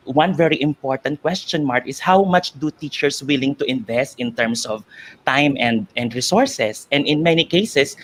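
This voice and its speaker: A man from the Philippines, an education professor, giving a formal, measured answer on a video call, stumbling now and then over long words. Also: mid-range voice.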